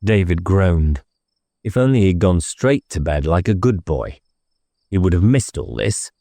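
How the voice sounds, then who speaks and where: A man's voice: deep voice, sing-song, unhurried, mellow, no room sound. A man narrating a novel as an audiobook in a dramatic scripted style, recorded on a close studio microphone.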